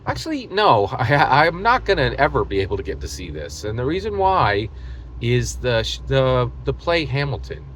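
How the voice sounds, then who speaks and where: Animated American man, emphatic and halting. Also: mid-range voice, a little hiss or hum. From United States. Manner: emphatic, repetitive, hyperbolic, halting mid-thought. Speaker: host of a tabletop gaming video channel. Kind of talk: casual opinionated monologue to camera.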